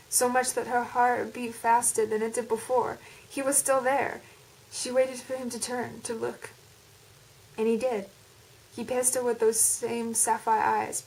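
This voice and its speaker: A woman's voice: fairly high pitch, darkish tone, low-quality recording. A woman putting on an American accent as an intense, melodramatic storyteller with dramatic, emphatic delivery. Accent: put-on American.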